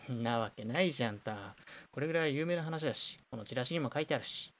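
A man's voice: medium pitch, animated, brisk, fairly bright tone. A man playing a knowledgeable, dryly teasing university student, explaining things matter-of-factly in an amateur radio drama.

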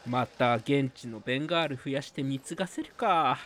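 A man's voice: medium pitch, normal speed, a bit bright, a little hiss or hum, natural voice. A man playing a cynical, womanizing university student with a blunt, grumbling way of talking in an amateur radio drama. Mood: teasing.

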